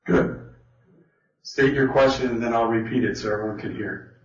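A man's voice: medium pitch, fairly even intonation, normal speed. Man teaching a Bible study in a roomy hall. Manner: conversational, thinks aloud with restarts. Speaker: Bible study speaker leading a question session. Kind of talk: informal teaching talk. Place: meeting hall with an audience. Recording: roomy, distant, dull sound.

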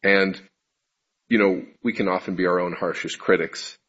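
Male preacher, deep and husky voice, conversational sermon, muffled lo-fi recording. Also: steady tone, normal speed, fairly bright tone. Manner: conversational, self-deprecating, asks the audience questions. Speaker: preacher giving a congregation talk.

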